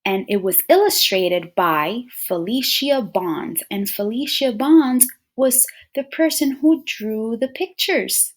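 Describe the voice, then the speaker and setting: Animated woman reading aloud to children. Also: fairly high pitch, takes it slowly, hardly any pauses. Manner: expressive, addresses listeners as friends and scholars, checking in with questions. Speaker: teacher reading a picture book aloud. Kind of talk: children's read-aloud with questions to young listeners.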